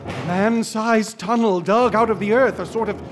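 An actor playing a shaken, obsessive investigator who narrates a radio drama in an earnest, urgent and insistent way. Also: male voice, high voice, normal speed, close to an everyday voice. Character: shaken young male investigator, obsessive and haunted. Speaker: narrator and investigator recounting events. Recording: scored with clear background music.

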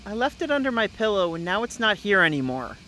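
A performer playing an anxious, exasperated boy at summer camp in an audio drama, with a halting, youthful delivery. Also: medium pitch, very animated, bright, forceful tone, a little hiss or hum. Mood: worried. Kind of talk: naturalistic audio drama acting.

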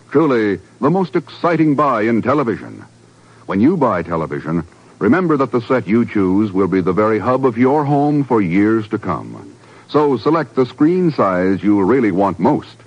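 A man with an American accent, a polished, emphatic 1950s radio announcer reading scripted copy. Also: medium pitch. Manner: emphatic, polished sales delivery. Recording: muffled 1950s radio broadcast.

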